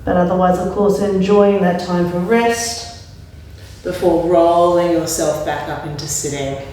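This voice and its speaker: A woman leading a yoga class with calm, gentle spoken cues. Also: on the low side, a little slow, slight background noise. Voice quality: slightly raspy when straining. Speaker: yoga instructor. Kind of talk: guided yoga class instruction. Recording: very echoey room, mic at a distance.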